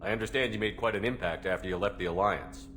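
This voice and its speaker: An actor playing a composed starship commander in a video game, direct and controlled. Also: male voice, on the low side, fast talker, fairly bright tone, soft background music, close to an everyday voice. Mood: composed, inquiring. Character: composed male starship commander questioning his crew. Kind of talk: video game character acting.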